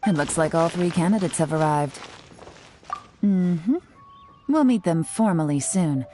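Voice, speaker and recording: Composed low-voiced female official. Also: animated, warm tone, slight background noise. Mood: composed, authoritative. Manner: measured, formal, authoritative. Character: composed, businesslike female official running a formal assessment. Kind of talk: video game character acting.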